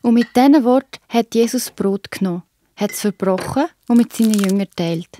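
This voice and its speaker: A woman's voice: a little high, a little fast, warm tone, slight background noise. A woman with a Swiss German accent narrating a scripted Bible story for children.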